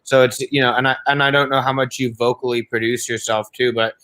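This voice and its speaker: A man at mid pitch gives a songwriting performer feedback as a showcase judge. He rambles in loose, filler-packed sentences and stays warmly encouraging throughout. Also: little pitch movement, fast talker. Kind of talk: casual spoken feedback.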